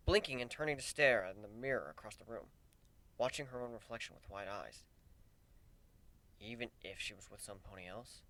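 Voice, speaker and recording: A man's voice: on the low side, bright, forceful tone. A man reading a piece of fan fiction as a dramatic, scripted story narration.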